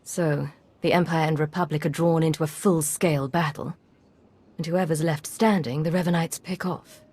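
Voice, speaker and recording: A woman's voice: low-pitched, steady tone, moderate pace, darkish tone, breathy edge. An actress playing a cool, businesslike female agent commander in a video game, terse, controlled and direct. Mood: serious, direct.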